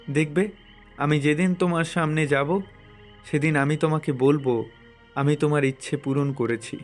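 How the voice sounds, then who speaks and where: Cartoon lead: man as earnest, wistful young man, slightly high pitch, music underneath. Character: earnest, dreamy young man devoted to a letter. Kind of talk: Bengali cartoon moral story dubbing. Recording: music behind the voice.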